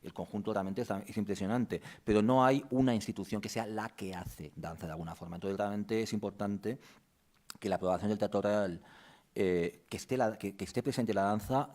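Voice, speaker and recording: A man's voice: fairly low pitch, brisk, a little mellow. A man presenting a theatre season at a press conference, explaining at length with frequent restarts.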